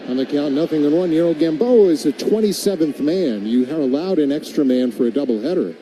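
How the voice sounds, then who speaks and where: Man, radio baseball play-by-play, very mellow dark timbre, slightly higher pitch, live game commentary. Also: expressive, brisk, slight background noise, poor audio.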